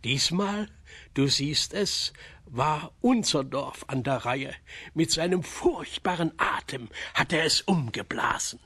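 Distraught peasant dad in a radio play, highish husky voice, dramatic warnings, super dry sound. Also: male voice, lively intonation, slow, sharp tone, dry recording, heavily acted. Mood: grim, warning. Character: distraught villager and father, grimly warning. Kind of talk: German children's radio play acting.

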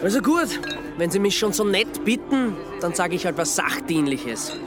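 An actor playing a flippant, sardonic amateur sleuth in a radio drama, with an Austrian accent and a deadpan manner. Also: male voice, high voice, moderate pace, music in the background. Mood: deadpan, mocking. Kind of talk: naturalistic radio drama dialogue.

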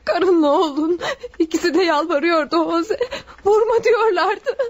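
An actress playing a young wife troubled by nightmares of a murder, anxious and tearful, in a radio play. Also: female voice, very high voice, measured pace, lo-fi sound. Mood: distraught. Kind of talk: classic radio drama acting.

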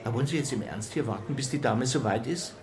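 A man performing an officious police superior in a crime radio play. His medium-pitched voice scolds with exasperation and reproach, every remark a reprimand from a boss at the end of his patience. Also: fast talker, crowd noise, close to an everyday voice. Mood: exasperated. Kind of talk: radio drama acting.

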